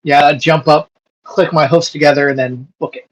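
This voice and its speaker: A man's voice: on the high side, steady tone, moderate pace, rough recording. A man playing a tabletop role-playing game, improvising casually in character with brief, matter-of-fact remarks.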